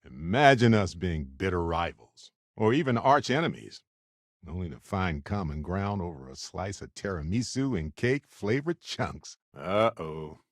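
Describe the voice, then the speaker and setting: A wry spacefaring companion from a video game, with a fairly low voice that moves around a lot in pitch. He sounds adventurous and warm-hearted, talking conversationally in a close, dry recording. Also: expressive, unhurried, no echo. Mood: wry, thoughtful.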